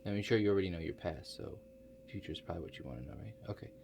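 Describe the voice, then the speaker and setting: A low male voice , a man in character, plays an awkward tinkerer running a future-viewing contraption in an ASMR roleplay. He speaks softly and haltingly, with understated, mumbled asides and long hesitations. Faint noise sits behind the voice. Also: lively intonation, gravelly. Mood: musing. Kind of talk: soft-spoken ASMR roleplay.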